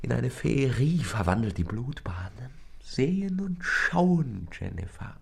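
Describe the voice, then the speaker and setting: An actor playing a rapturous male lover in a literary radio play, declaiming poetic lines fervently in a low, gravelly, often breathy voice. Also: very animated, slow pace, heavily acted. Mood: tender wonder. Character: rapturous male lover, a stranger in a rented room.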